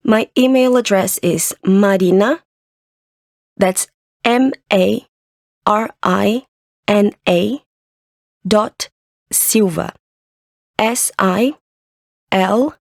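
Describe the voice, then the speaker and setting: A woman's voice: medium pitch, a little mellow, dry recording, clean studio sound. A woman playing a polite businesswoman leaving a work voicemail for a scripted listening exercise, enunciating carefully. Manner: careful, clearly enunciated, spelling things out slowly.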